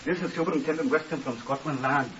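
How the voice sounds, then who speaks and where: A man's voice: fairly high pitch, quick pace, a bit bright, lightly acted. An actor playing a meek, flustered, mournful man in a 1950s radio drama, hesitant and fussily deferential. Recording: hissy, muffled, distant 1950s radio recording.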